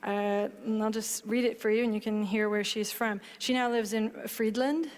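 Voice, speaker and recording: A woman's voice: on the high side, steady tone, slight background noise. Woman on a headset mic in a reverberant hall. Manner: explanatory, measured. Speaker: book author on a panel. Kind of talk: panel talk and reading aloud. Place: large hall stage before an audience.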